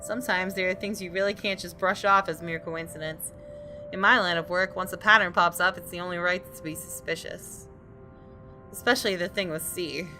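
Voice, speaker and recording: A woman with a slightly low voice narrates a story game aloud. She reads scripted prose expressively, with music clearly audible behind her. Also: fairly even intonation, fairly quick, bright, forceful tone. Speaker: gaming video host reading the story.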